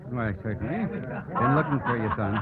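A man's voice: mid-range voice, moderate pace, natural voice. An actor playing a jovial, fatherly small-town judge in a folksy, hearty 1950s radio drama style. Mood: jovial, excited. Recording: tinny vintage radio recording, party crowd chatter.

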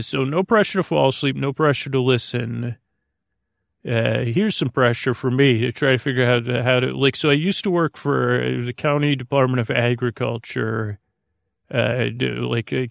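A man hosting a sleep podcast in a meandering, halting, droning monologue full of tangents and repeats. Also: gravelly, no echo, studio quality. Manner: droning, low-key. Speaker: podcast host.

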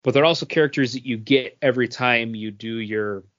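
A man's voice: medium pitch, brisk, fairly bright tone. A man talking casually about games as a podcast co-host, on a slightly dull recording.

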